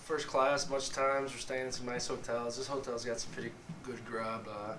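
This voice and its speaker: A man's voice: medium pitch, flat delivery, fairly quick, bright, forceful tone, far from the mic. A young man with a scratchy voice, a college football player joking his way through an interview. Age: young adult. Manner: wisecracking, boastful. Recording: echoey room, off-mic, ambient noise.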